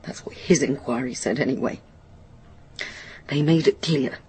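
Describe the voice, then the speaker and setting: A woman playing a guilt-ridden, stubborn grown daughter in a radio drama, speaking in a halting, anguished, bitter confession that often drops to a murmur. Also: rumbling low, mellow, a little hiss or hum. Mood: bitter, ashamed.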